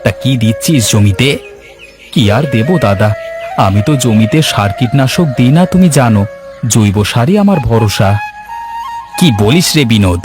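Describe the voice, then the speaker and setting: A man voicing village farmers in a cartoon fairy tale, colloquial and expressive. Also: fairly low pitch, lightly acted. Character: male villagers: plain farmers, husband, scheming rival.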